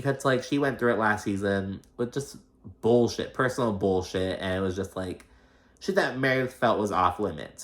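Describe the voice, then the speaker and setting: A man's voice: lowish voice, a bit bright. A man with a slight lisp giving casual, gossipy, sweary recap commentary, acting out quoted dialogue as he goes. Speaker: host of a reality TV recap show.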